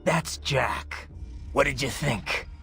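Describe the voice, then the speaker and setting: A man voicing a brooding, bloodthirsty cyborg soldier in a video game, with a menacing gravelly growl and intense, clipped phrases. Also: medium pitch, slow, pushed and bright, full character voice. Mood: grim, boastful. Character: brooding, bloodthirsty male cyborg soldier, haunted former child soldier. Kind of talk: dramatic video game voice acting. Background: music.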